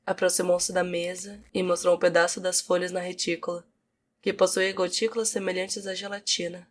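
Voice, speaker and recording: A woman's voice: lowish voice, little pitch movement, a little fast. A Brazilian woman reading scripted narration for a fantasy audiobook. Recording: music behind the voice. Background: music.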